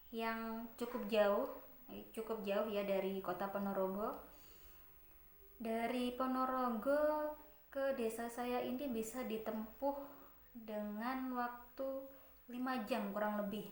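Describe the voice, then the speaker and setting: A woman in her twenties from Malang, giving a friendly, unhurried introductory lecture and asking her students questions. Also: a little high, steady tone, echoey room. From Malang, East Java, Indonesia. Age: 20s.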